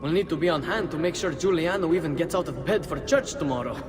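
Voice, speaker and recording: A man's voice: fairly high pitch, animated, moderate pace, natural voice. An actor playing an eager henchman in a conspiracy, satisfied and emphatic in a game cutscene. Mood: mocking. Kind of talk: video game cutscene acting. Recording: long hall reverb.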